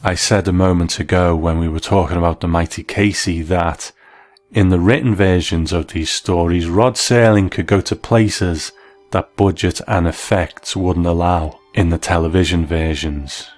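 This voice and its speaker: A man's voice: sits low, fairly even intonation, moderate pace, darkish tone, dry recording. A man presenting a scripted review in a conversational, explanatory style.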